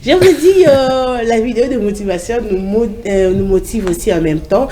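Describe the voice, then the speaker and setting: A woman's voice: mid-range voice, lively intonation, moderate pace, mellow, a little hiss or hum. A woman with a cold hosting a morning TV show, chatty and upbeat with lots of fillers. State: has a cold. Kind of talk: casual studio chat. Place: TV studio.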